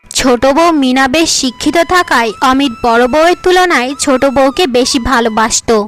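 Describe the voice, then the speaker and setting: A woman's voice: high voice, normal speed, fairly bright tone, soft background music. A woman narrating a cartoon folk tale in a plain storytelling manner.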